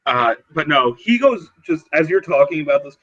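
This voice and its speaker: A man playing a tabletop roleplaying game, narrating and chatting casually in a halting, self-correcting way. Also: fairly high pitch, big pitch swings, a bit bright, lo-fi sound.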